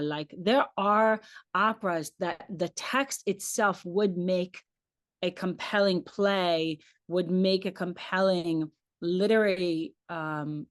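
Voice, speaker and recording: Hebrew-native woman, chatty opera director. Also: lowish voice. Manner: thoughtful, chatty, uses "like" and "um" often. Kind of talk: conversational online lecture.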